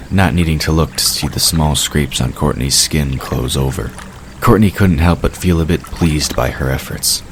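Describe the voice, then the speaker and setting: Very deep-voiced male storyteller. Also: a little hiss or hum. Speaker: narrator. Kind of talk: scripted narration of a fantasy audio drama.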